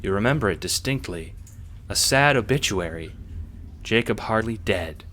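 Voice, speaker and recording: A man narrates an audiobook-style drama in a deep, low voice. His delivery is scripted storytelling addressed to the listener, over faint noise and ambience. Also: lively intonation, normal speed, a bit bright.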